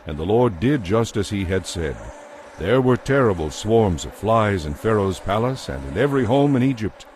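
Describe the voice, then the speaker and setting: Lowish-voiced solemn male narrator. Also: expressive, a little mellow, slight background noise. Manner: solemn, measured storytelling. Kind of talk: scripted dramatized Bible narration.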